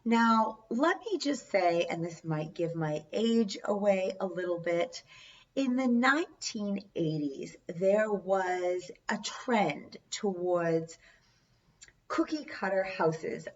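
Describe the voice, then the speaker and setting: A woman's voice: medium pitch, lively intonation, takes it slowly. A middle-aged woman who is losing her voice hosts her own channel and tells a true haunting story in a chatty, earnest way with small asides. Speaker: channel host. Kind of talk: storytelling vlog. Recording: distant, dull lo-fi sound.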